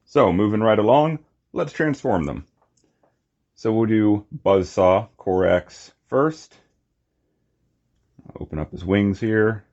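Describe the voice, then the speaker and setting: A man giving a casual, unscripted hands-on toy review, talking in short, halting phrases on a slightly muffled recording. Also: lowish voice, animated, darkish tone. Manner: halting, short phrases while handling objects.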